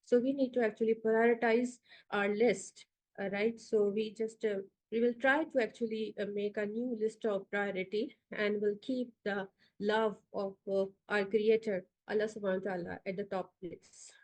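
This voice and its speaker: A woman's voice: medium pitch, steady tone, normal speed, low-quality recording. A woman in her forties with a Pakistani accent, giving an online orientation talk on a video call with many hesitant fillers. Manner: hesitant, many fillers, directs slide changes. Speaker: institute founder giving orientation.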